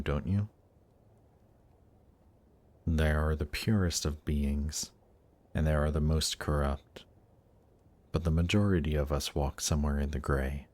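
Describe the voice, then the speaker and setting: A man playing a suave demon prince in an intimate audio roleplay, speaking in a slow, seductive, gently coaxing way. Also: rumbling low. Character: suave demon prince of Hell, tempting and reassuring. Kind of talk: intimate audio roleplay, scripted monologue to listener.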